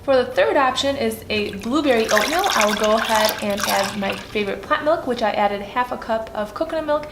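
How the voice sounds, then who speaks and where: A woman's voice: fairly high pitch, fairly quick, few pauses, echoey room, very noisy. A young woman with a Polish accent mixed with Chicago, chatting casually as a vegan lifestyle vlogger. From Poland. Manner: friendly, chatty, with fillers. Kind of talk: casual cooking vlog with voice-over.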